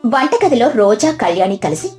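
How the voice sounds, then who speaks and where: A woman's voice: a little high, very animated, moderate pace, a little mellow, soft background music. A woman storyteller reading a scripted moral tale as narration.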